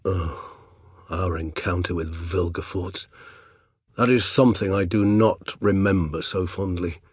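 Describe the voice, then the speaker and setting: Husky, low-voiced courtly vampire. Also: male voice, no echo, clean studio sound. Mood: musing, calm. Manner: formal, eloquent, measured old-fashioned phrasing. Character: erudite, courteous older male vampire, gentle surgeon and loyal friend. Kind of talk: video game dialogue.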